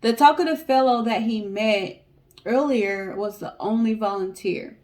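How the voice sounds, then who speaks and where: A woman's voice: a little high, a little slow, darkish tone. A woman co-hosting a story show, reading a tale aloud in a casual way. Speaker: co-host of a story guessing show.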